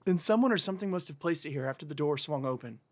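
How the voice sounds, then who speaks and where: A man's voice: on the high side, lively intonation, quick pace, no room sound. An actor playing a persuasive, easily exasperated young man in casual, naturalistic audio drama dialogue. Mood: puzzled.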